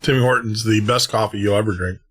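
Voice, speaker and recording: A man's voice: lowish voice, steady tone, fast talker. A man hosting a late-night radio show and podcast, chatting casually, rambling and laughing at himself. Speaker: host.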